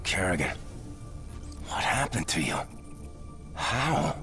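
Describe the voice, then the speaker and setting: An actor playing an earnest, determined military commander in a video game, with terse, brooding delivery. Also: male voice, low voice, very animated, takes it slowly, over loud music, full character voice. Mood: alarmed.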